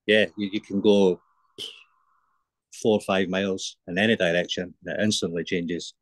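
A man's voice: sits low, steady tone, normal speed, dry recording, poor audio. A man in his forties with an Edinburgh Scottish accent, a podcast guest giving brief, plain-spoken answers over a video call. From Scotland. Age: 40s.

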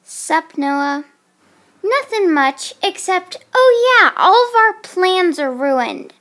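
A woman's voice: sits high, takes it slowly. A young woman voicing casual, dramatic girls planning a sleepover in an amateur doll movie. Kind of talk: amateur doll stop-motion voice acting.

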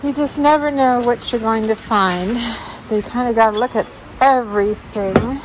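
A woman's voice: on the high side, normal speed, noisy background. A woman giving a chatty, casual running commentary for a shopping vlog, thinking aloud as she browses. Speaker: vlog host, thrift store reseller. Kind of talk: casual shop-along vlog chat. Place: large indoor warehouse-style thrift store. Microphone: handheld phone filming the shelves.

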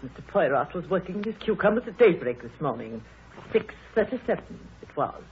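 A woman's voice: fairly low pitch, big pitch swings, brisk, slight background noise. An actress playing a chatty, nosy woman who fancies herself a sleuth, coy and insistent, in 1930s radio style. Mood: coy, teasing. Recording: muffled narrow-band 1930s radio broadcast.

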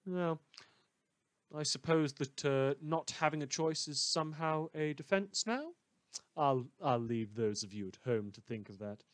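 A man playing a morbidly amused radio host in a fan audio drama, rambling hesitantly with dark, wry mock concern. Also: fairly high pitch, a little slow, no echo, lightly acted. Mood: musing, sardonic.

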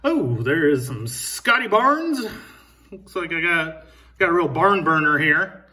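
A man's voice: on the high side, big pitch swings, unhurried, sharp tone, recorded from a distance. A man in his thirties co-hosting a card-opening show, making dry, self-mocking jokes as he reveals his cards. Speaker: co-host opening card packs. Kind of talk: casual card-opening chat. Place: indoor room at a table.

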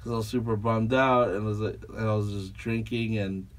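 Brief: man from Peru, rambling podcast guest, low-ish voice, a bit far from the mic in a foam-lined studio. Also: fairly even intonation, moderate pace. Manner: rambling, full of likes and fillers. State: tired after a late night. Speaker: guest tattoo artist. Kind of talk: casual podcast conversation. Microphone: studio mic on a boom arm, headphones on. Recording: voice a little off-mic.

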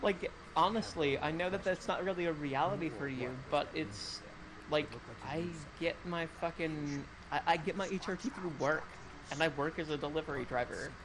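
A woman's voice: sits low, normal speed, background noise. A woman in casual chat with friends, talking frankly and swearing freely. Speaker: guest.

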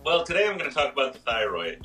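A hoarse man in his fifties, a pharmacist explaining health topics on a video call, step by step with many fillers. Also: medium pitch, big pitch swings, quick pace, sharp tone, far from the mic, soft background music. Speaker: pharmacist guest on a radio show. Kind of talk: casual health talk. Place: small room indoors.

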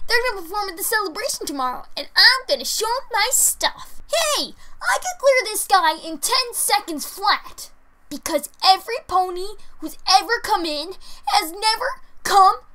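Brash tomboy cartoon pony girl, sharp bright voice, super high, boastful and excitable. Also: heavily acted. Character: brash, cocky, tomboyish young female cartoon pegasus pony. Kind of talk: character voice reel with acted lines.

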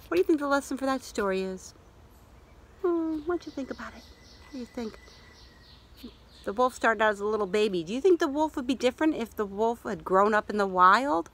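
A middle-aged woman reading a picture book aloud to children outdoors in an expressive way, pausing to ask questions. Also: on the high side. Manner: expressive, asks listeners questions. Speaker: library story reader. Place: outdoors in a leafy yard.

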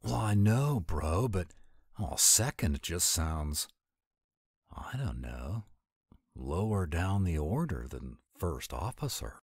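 A man's voice: deep voice, very animated, takes it slowly, a little mellow, husky, no room sound, studio quality, full character voice. A man voicing a laid-back, eager werewolf sidekick who drawls in dude slang for an audio roleplay. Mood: hesitant, earnest.

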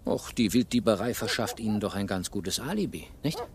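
An actor playing a shrewd, sardonic police inspector who probes and gives orders in a German radio crime play. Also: male voice, on the low side, very animated, brisk, a little mellow. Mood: sardonic, pressing. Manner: probing, sardonic, commanding.

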